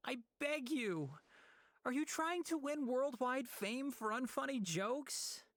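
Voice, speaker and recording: A woman's voice: high-pitched, expressive, slow pace, fairly bright tone, exaggerated voice. A woman voicing a weary, sardonic, exasperated young woman in an anime game.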